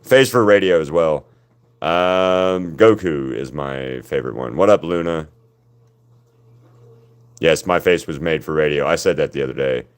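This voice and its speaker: Deep-voiced man chatting on a livestream. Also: unhurried, long pauses, smooth. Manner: rambling, answers viewers' chat by name. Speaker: artist hosting a livestream. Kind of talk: casual livestream chat while painting.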